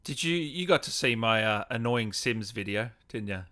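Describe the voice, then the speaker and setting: Bright-toned male host, casual interviewer. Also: fairly low pitch, lively intonation, moderate pace. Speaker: gaming channel host and interviewer.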